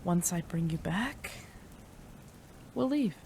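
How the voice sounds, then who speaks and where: A woman's voice: lowish voice, animated, takes it slowly, a little mellow, a little hiss or hum. A woman playing a devoted, desperate female mad scientist in an intimate, emotional audio roleplay, addressing a beloved. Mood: tender, wistful. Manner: emotional, breathy sighs, addresses a beloved tenderly.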